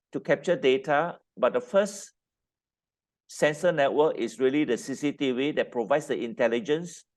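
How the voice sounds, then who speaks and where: A man moderating a conference panel, speaking formally with occasional filler pauses. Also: mid-range voice, a little mellow.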